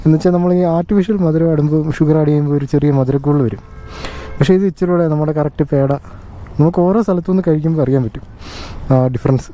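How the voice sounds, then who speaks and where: A man's voice: a little high, quick pace, dark tone, poor audio. A man from India chatting casually as a motovlogger while riding, recorded on a helmet mic. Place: outdoors on a motorbike on the road. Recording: vehicle and wind noise, helmet mic. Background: vehicle and road noise.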